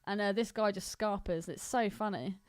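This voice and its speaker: A woman's voice: medium pitch, moderate pace, darkish tone, clean tone, no echo. A woman co-hosting a podcast in casual comic banter, sweary, teasing and giggly. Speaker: podcast co-host.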